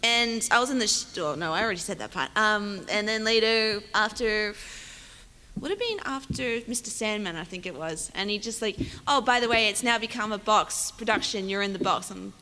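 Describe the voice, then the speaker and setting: A woman's voice: medium pitch, lively intonation, moderate pace, pushed and bright. A woman chatting casually and telling anecdotes as a panelist at a convention. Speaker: convention panelist, fan audio drama performer. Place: large hall at a fan convention. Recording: echoey noisy hall, PA sound.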